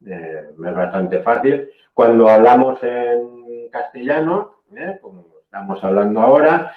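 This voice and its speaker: A man's voice: medium pitch, expressive, unhurried, reverberant, phone-quality sound. A man from Spain giving a technical training lecture, explaining patiently and often checking in with "¿eh?". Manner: explanatory, frequent "¿eh?" checks, self-corrections.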